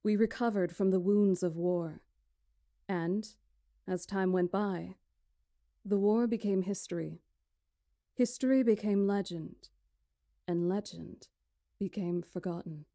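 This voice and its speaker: A woman as a mellow, composed young witch. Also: mid-range voice, fairly even intonation, dry recording, clean studio sound. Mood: solemn. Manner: measured, formal storytelling. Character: composed, dignified young witch who keeps the chronicles. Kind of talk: visual novel voice acting.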